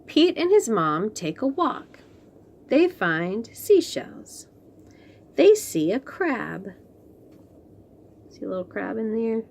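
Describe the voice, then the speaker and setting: A woman reading a picture book aloud to young children, slow and expressive, then chatting about the books. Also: on the high side, creaky. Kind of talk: read-aloud storytime for young kids.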